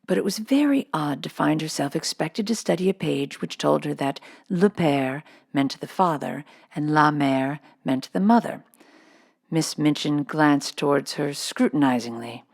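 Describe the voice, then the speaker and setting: A woman narrates a children's story from the page. Her voice sits a little low and carries noticeable fry as she reads the scripted prose. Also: expressive, measured pace, a little mellow. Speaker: storybook reader.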